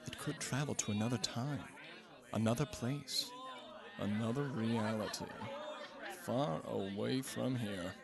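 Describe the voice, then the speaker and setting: A man's voice: medium pitch, measured pace, gravelly, music in the background, crowd noise. A man acting a pompous, reckless physics professor in a comedic radio drama, lecturing grandiosely.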